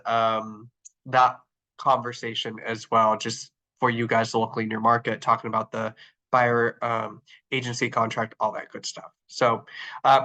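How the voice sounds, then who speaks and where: A man presenting a training webinar, his voice nasal and stuffy from the flu. He talks in an upbeat, casual way, full of ums and uhs, as if walking through a screen share. His pitch sits at an ordinary middle level for a man. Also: bright, forceful tone. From Arizona. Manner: upbeat, filler-heavy, talking while clicking through screens. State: congested from the flu.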